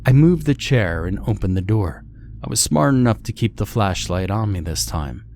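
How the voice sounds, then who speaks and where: A man's voice: on the low side, expressive, normal speed, vocal fry, darkish tone, slight background noise. A man reading a scripted first-person horror story as its narrator.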